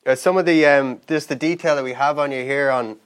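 A man's voice: medium pitch, fairly even intonation, fairly quick, a little hiss or hum. A man, casually putting questions to a guest as a podcast host. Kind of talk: casual interviewing.

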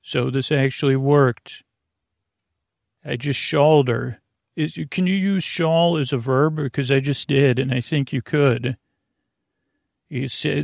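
A man hosting a bedtime podcast in a meandering, halting, self-deprecating monologue with whimsical asides. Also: mid-range voice, gravelly, no room sound, clean studio sound. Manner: whimsical, acting out little imaginary exchanges. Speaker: podcast host.

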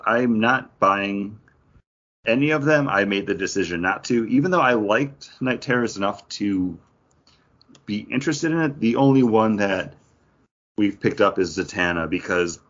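A man's voice: on the low side, a bit bright. A man co-hosting a podcast in a casual, conversational discussion. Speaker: comics podcast co-host. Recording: dull lo-fi audio.

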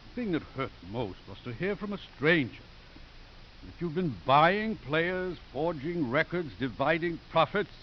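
A wry, righteous old priest in an old radio drama. His voice is fairly high, and the recording is dull with faint hiss. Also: animated, unhurried. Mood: stern, hurt. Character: feisty elderly priest, earnest head of a college. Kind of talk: 1950s radio drama acting. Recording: muffled, hissy 1950s radio broadcast.

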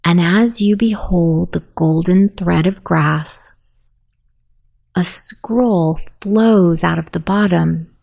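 A woman narrating a guided sleep meditation in a soothing, softly spoken, unhurried manner. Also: fairly low pitch, gravelly.

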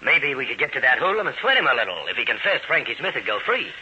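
Eager reporter pal, quick talker, very rough sharp voice, dull old radio recording. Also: male voice, mid-range voice, sing-song, heavily acted. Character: eager, practical male newspaper reporter sidekick. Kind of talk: old-time radio drama acting.

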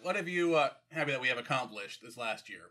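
Male co-host, bright tone, wisecracking and laughs a lot. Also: mid-range voice, lively intonation. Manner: joking, quick asides, laughs often. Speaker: podcast co-host. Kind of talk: casual chat.

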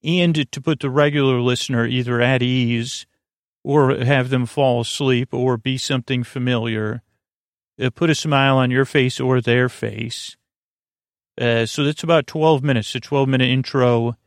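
A man with a creaky voice hosting a sleep podcast in a soothing, meandering monologue full of halting starts and tangents. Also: mid-range voice, fairly even intonation, dry recording, clean studio sound. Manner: halting, digressive, many false starts and filler words, soothing.

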